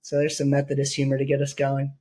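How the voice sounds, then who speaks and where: A man hosting a podcast, hoarse and raspy from being sick, talking in a rambling, joking way with many fillers. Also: mid-range voice, monotone, quick pace, mellow. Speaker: podcast host. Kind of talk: casual podcast chat.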